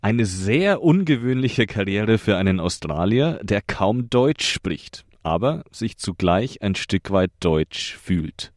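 A male radio presenter reading a scripted narration in a measured, even way. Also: on the low side, no room sound, studio quality.

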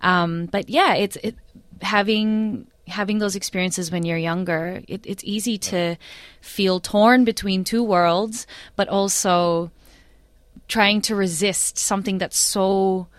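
A woman's voice: medium pitch, steady tone, slow, smooth, no room sound. A young woman from Australia with an Australian accent, talking in a casual interview as the guest, thoughtful, with many fillers and restarts.

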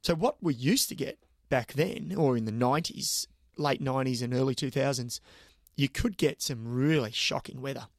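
Australian man, co-host, enthusiastic, upbeat, mid pitch, dry close recording. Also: normal speed, few pauses, no echo. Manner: enthusiastic, upbeat, repeats himself while thinking. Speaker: cycling commentator and former racer. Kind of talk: casual chat.

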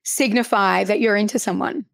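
A woman from the Middle East hosting a podcast interview, curious and playful with quick follow-up questions. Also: medium pitch, steady tone, creaky, dry recording. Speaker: podcast host. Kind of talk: casual interview chat.

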